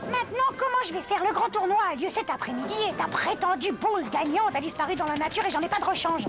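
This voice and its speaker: An extremely high-pitched, naive young dodo bird for a cartoon. The delivery is exclamatory and sweetly stubborn, with big swings in pitch. Loud music plays behind. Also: female voice, lively intonation, fast talker. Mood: worried. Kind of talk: animated cartoon dub.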